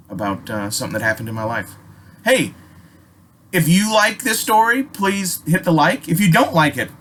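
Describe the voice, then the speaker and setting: A man's voice: on the high side, fairly bright tone. Profane middle-aged man over noisy ambience. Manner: profane, emphatic, digressive. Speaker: storyteller and video host. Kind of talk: casual, rambling personal storytelling.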